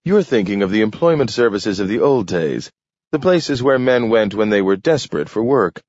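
A man doing a smooth, lecturing official. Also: lowish voice, animated, moderate pace, a little mellow, no echo, pitched above the performer's own voice. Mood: assured. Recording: dull lo-fi recording.

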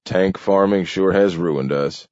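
A man voicing a weary, stubborn middle-aged farmer who speaks in gruff rural dialect. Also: sits low, takes it slowly, a little mellow, no echo. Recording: dull lo-fi recording.

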